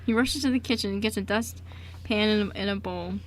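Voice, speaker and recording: A woman's voice: mid-range voice, brisk. A woman narrating an amateur radio play from a script, stumbling now and then as she reads aloud. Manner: stumbles while reading aloud. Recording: background room noise.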